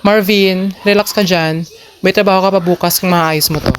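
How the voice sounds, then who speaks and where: A woman's voice: medium pitch, fairly even intonation, normal speed, a little hiss or hum, lightly acted. A woman with a Filipino accent playing a stressed, exasperated station boss in a comedic radio drama. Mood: stern, fed up.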